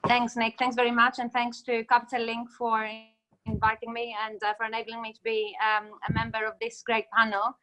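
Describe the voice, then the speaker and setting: A woman's voice: fairly high pitch, monotone, brisk, a bit bright, rough recording. A woman in her thirties from Greece, a lawyer on a video-call panel, talking hesitantly with many fillers and restarts. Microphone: laptop webcam mic, video call.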